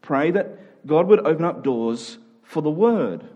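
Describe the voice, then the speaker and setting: A man's voice: a little high, animated, unhurried, husky, highs cut off. A man preaching a conversational sermon in an informal but emphatic way, repeating key phrases to drive them home.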